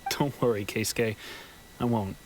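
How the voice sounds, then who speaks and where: A man playing an emotional, hesitant old friend in a video game, halting and earnest. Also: fairly low pitch, a little hiss or hum, close to an everyday voice, poor audio. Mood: anxious, touched. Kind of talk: dramatic game dialogue acting.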